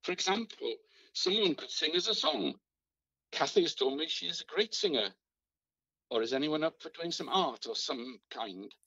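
Man acting an earnest, lively meeting chair, muffled line. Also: fairly high pitch, normal speed, breathy edge, no echo, full character voice. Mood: encouraging. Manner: polite, hesitant, encouraging. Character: James, earnest, harried male chair of a community meeting. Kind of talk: amateur radio play, video-call meeting. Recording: muffled, dry phone recording.

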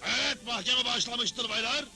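A pompous crooked Wild West judge in a cartoon, very high-pitched and declamatory. He pronounces every line like a grand verdict, over loud music. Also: moderate pace. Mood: bossy, official. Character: pompous, theatrical crooked frontier judge who craves authority. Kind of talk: dubbed Western cartoon acting.

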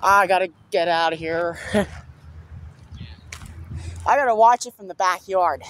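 A young man vlogging while walking outside, talking excitedly and repeating himself about an approaching storm, filmed on a handheld phone. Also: sits high, a little slow. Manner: excited, repetitive exclamations. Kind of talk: casual walking vlog. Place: outdoors on a small-town street. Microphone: phone camera held at arm's length. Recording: outdoor street noise.

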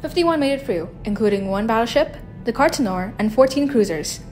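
An actress playing a formal starship bridge officer in a science fiction audio drama, giving clipped military reports. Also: female voice, on the high side, lively intonation, a little fast, darkish tone, close to an everyday voice. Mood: formal, tense. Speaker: voice actor. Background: music.

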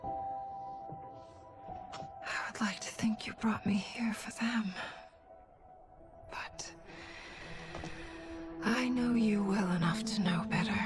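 A slow-speaking, earnest warrior empress. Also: female voice, medium pitch, in a whisper, music in the background. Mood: wistful. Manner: earnest, softly dramatic. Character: regal, heartfelt warrior empress, wistful but dutiful. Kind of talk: video game cutscene acting.

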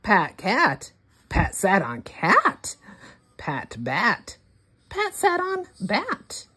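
Very slow, expressive woman reading aloud. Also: mid-range voice, husky. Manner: deliberate, word-by-word, expressive delivery for early readers. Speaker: children's read-along host. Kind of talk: read-aloud of a rhyming picture book for young children.

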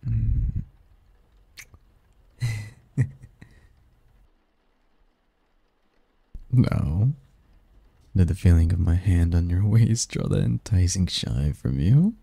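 A man's voice: sits low, lively intonation, slow pace, gravelly, pauses often, dark tone, husky, no echo, exaggerated voice. A man voicing a doting, possessive sleep demon lover in an intimate romantic ASMR roleplay, teasing and tender in courtly phrasing. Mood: teasing, flirtatious.

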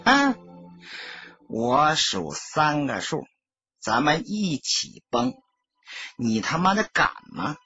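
A man's voice: fairly high pitch, sing-song, slow, pauses often, pushed and bright, breathy edge, exaggerated voice. A man voicing a reckless, sneering gangster in an audiobook, taunting and goading. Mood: taunting menace. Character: disfigured, reckless, sneering male gangster with a gun. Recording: dull lo-fi audio, music behind.

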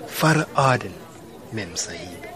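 An actor in a radio drama playing a courtly, composed Somali man, husky, speaking in a terse, formal manner. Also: lowish voice, animated, measured pace, a crowd in the background. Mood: courteous, reserved.

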